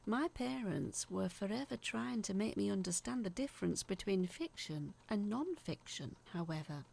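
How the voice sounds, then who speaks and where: A woman's voice: medium pitch, lively intonation, normal speed, vocal fry, darkish tone. A woman playing an earnest, haunted letter writer, confiding eerie childhood tales in a dramatic scripted narration. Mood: confiding, wistful.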